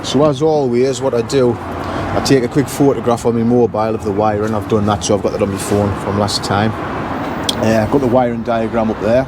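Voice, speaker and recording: Man talking over loud outdoor noise. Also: fairly low pitch, fairly even intonation, fairly quick. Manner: matter-of-fact, thinking aloud while working. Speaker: intercom repair engineer presenting his work. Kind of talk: casual hands-on repair walkthrough.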